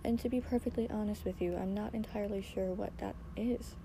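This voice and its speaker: Host woman, hoarse and quiet, voice cracking into fry, halting reflective monologue, noisy background hiss. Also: mid-range voice, fairly even intonation. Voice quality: raspy. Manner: soft-spoken, halting, reflective, many pauses. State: losing her voice, hoarse. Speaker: podcast host. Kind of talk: personal solo podcast monologue.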